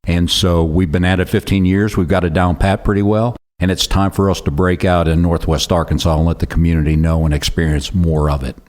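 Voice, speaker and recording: A man's voice: low voice, fairly even intonation, brisk, few pauses, darkish tone. A man from Chicago, a youth golf program leader, speaking earnestly as a radio guest. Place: radio studio.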